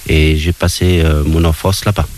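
A man of fifty, interviewed as a guest in a room at an association's premises, answering in a hesitant way with many pauses and restarts. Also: extremely low pitch, level tone, darkish tone, a little hiss or hum. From Belgium. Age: 50s. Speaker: guest, water polo coach. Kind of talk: casual interview talk.

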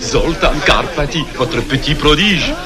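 A man's voice: on the high side, lively intonation, a little slow, crowd noise. An actor in a French film dub playing a vain, flamboyant male linguist who fawns and boasts in a theatrical way. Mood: fawning. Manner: fawning, self-satisfied, theatrical. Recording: film soundtrack with music.

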